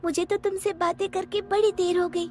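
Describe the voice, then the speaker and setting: A woman's voice: extremely high pitch, flat delivery, moderate pace, a little mellow, soft background music, pitched above the performer's own voice. A woman voicing a sweet, dutiful young village girl in a light, cheerful, girlish manner.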